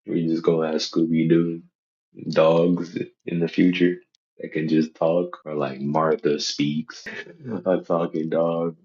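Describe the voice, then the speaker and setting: Very deep-voiced young man, slangy and joking. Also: level tone, slow, darkish tone, highs cut off. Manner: slangy, profane, joking, repeats phrases. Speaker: reaction video host. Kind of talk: casual unscripted reaction commentary.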